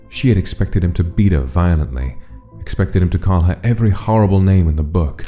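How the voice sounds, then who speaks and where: A man's voice: rumbling low, mellow. A man narrating a dramatic story from a script, as the narrator of a comic dub. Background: music.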